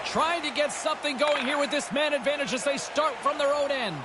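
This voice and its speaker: A man playing an excitable hockey play-by-play announcer in a sports video game, calling the action in clipped, urgent phrases. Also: extremely high pitch, a little fast, very noisy. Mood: urgent, excited. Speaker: play-by-play commentator. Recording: loud game music and crowd behind. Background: arena crowd, music, game sound effects.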